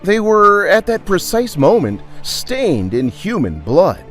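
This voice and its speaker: A man working as a storyteller, reading scripted dramatic narration with theatrical, emphatic pauses. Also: high-pitched, wildly swooping pitch, fairly bright tone, soft background music.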